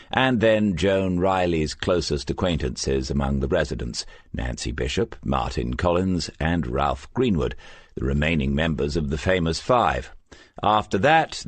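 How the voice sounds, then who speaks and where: A man narrating a crime novel as an audiobook, with measured, scripted storytelling. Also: extremely low pitch, husky, dry recording.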